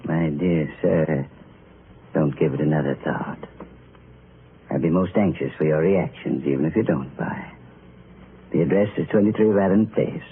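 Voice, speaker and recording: An actor playing a courteous, sly pawnshop keeper in an old radio drama, speaking formally and with insinuation. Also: male voice, very deep voice, normal speed, pauses often. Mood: smooth, insinuating. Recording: noisy, narrow-band old radio recording.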